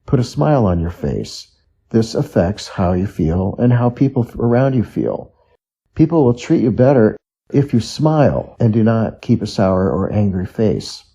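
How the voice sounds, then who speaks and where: A man reads scripted advice in a very dark, mellow voice. It sits fairly low and has a husky edge, suited to self-help narration. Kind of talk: scripted reading of self-help and study tips.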